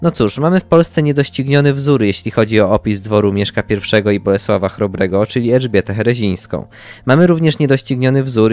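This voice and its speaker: A man's voice: mid-range voice, a little mellow. A young man hosting a podcast, giving a scripted book review. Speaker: podcast host.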